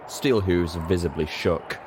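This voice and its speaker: A man's voice: low-pitched, unhurried. A man reading a story aloud in dramatic, scripted first-person narration. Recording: faint background noise.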